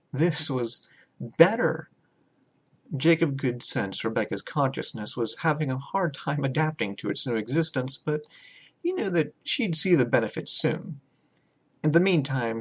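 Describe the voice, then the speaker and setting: A man reading his own horror short story as a scripted, dramatic narration, deliberate and measured, with pauses. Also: fairly high pitch, husky.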